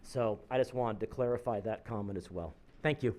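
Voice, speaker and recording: A man, a local resident, giving a measured public comment at a city planning meeting to correct an earlier point. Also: fairly low pitch, a little mellow, slight background noise. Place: council chamber podium.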